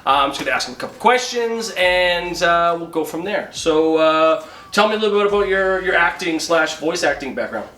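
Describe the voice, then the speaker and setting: A man's voice: on the high side, expressive, fairly quick, pushed and bright. A man interviewing casually as host, informal, slangy and enthusiastic. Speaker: interviewer, animated series co-creator. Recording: roomy, echoey sound.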